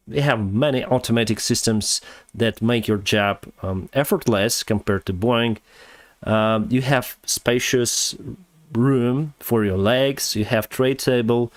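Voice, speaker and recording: A man with a Ukrainian accent, whose first language is Russian, hosting a casual live stream and answering chat in a halting way with many fillers. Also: on the low side, dry recording. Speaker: livestream host reading chat.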